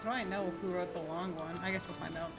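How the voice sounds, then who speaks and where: A woman's voice: on the low side, steady tone, fast. Slangy woman streamer over game music. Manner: informal, slangy, outspoken. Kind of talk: casual gameplay commentary, reacting and reading aloud.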